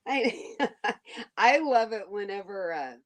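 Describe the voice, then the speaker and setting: A woman from Alabama chatting as a podcast co-host, excitable and gushing. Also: medium pitch, slow, a crowd in the background. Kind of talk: casual chat.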